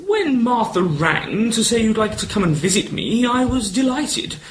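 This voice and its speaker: A man acts an older retired colonel for an amateur radio play. He is courtly and proud, with a high, swooping voice and old-fashioned gallantry, over a faintly noisy recording. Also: big pitch swings, moderate pace. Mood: courteous, welcoming.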